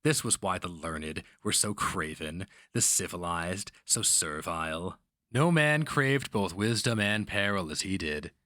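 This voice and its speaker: Casting: man with a low register for solemn, theatrical fantasy audiobook narration, recorded dry. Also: lively intonation, a little slow, sharp tone, no room sound. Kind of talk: dramatic scripted fantasy narration.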